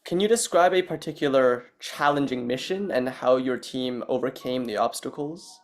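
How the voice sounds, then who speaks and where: A man interviewing a guest in a relaxed, informal way, with loose phrasing, heard over a video call. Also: mid-range voice, normal speed. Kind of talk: casual interview.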